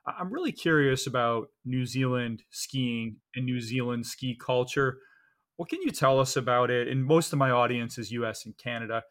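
A man's voice: mid-range voice, normal speed, fairly bright tone. A man hosting an interview show, curious, asking long, layered questions. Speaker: podcast host. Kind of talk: interviewing a guest.

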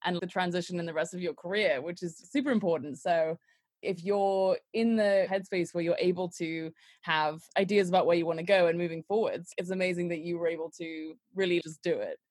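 A woman's voice: lowish voice, a little fast, a little mellow, no echo. A woman hosting an interview, asking questions and giving encouraging, affirming replies. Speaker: interviewer host. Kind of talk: conversational interview.

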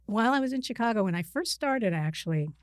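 A woman's voice: on the high side, expressive, fast, no room sound, studio quality. A middle-aged woman from Queens, New York, a psychiatrist guest explaining things conversationally, with hesitations and self-corrections. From Queens, New York, United States. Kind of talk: conversational personal account.

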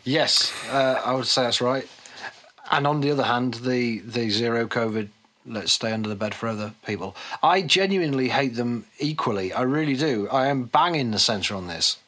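Bloke guesting on a podcast, average pitch, blunt and opinionated, chatting casually. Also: male voice, expressive, moderate pace, fairly bright tone. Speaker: podcast guest, policy economist and author.

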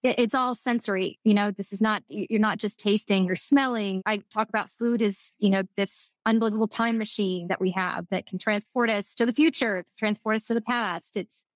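A Texan woman speaking as a guest, thoughtful and earnest as she works through her points. Her pitch is average, and the recording is dry and close-miked. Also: fairly even intonation, moderate pace, few pauses, no echo. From Texas. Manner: thoughtful, earnest, some restarts. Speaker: guest, food manufacturing executive. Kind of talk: casual interview chat.

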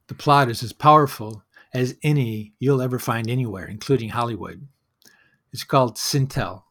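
A man's voice: mid-range voice, fairly bright tone, husky. A man hosting a solo storytelling show, speaking in a reflective, conversational and wry way, as in a personal monologue.

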